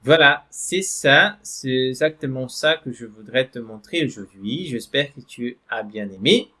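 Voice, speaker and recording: Male French teacher, mid-pitched, patient and slow, reads screen text aloud. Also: lively intonation, bright, forceful tone. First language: Portuguese. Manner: slow, repeats "d'accord?" and "voilà" to check in. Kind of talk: online language lesson.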